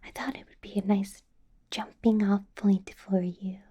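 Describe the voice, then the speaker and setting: A woman playing a doting, possessive female vampire boss in a whispered ASMR roleplay, breathy, coaxing and intimate. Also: mid-range voice, level tone, warm tone. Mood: soothing, doting. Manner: slow, coaxing, intimate whisper with murmured hums.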